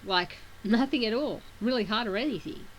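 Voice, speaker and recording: A woman's voice: on the high side, moderate pace, a little hiss or hum, low-quality recording. A woman with a sore throat reading a webcomic aloud, with casual asides between the read text. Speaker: reader of a webcomic.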